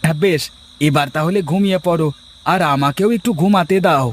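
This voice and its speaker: Man playing a high-voiced folksy village farmer. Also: brisk, a little hiss or hum, natural voice. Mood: lecturing, teasing. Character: poor village farmer husband, down-to-earth, teasing, preachy. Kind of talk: cartoon dialogue acting.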